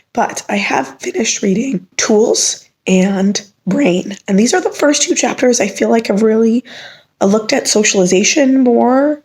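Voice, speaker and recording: Sick woman vlogger, voice almost gone: gravelly, breathy, half-whispered, casual asides. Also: mid-range voice, darkish tone. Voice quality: hoarse and whispery. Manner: quick, offhand asides. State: sick and losing her voice. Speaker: book reviewer. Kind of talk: casual vlog update.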